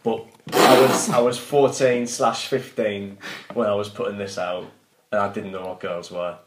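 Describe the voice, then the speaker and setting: A man's voice: fairly low pitch, fairly even intonation, moderate pace. A man chatting casually with friends as an author, jokey and mock-defensive. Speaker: author and podcast co-host. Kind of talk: casual banter among friends. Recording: echoey room, audible background noise.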